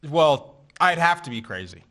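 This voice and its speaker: A man playing a dry-witted drifter in a radio play, with deadpan delivery. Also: a little high, normal speed.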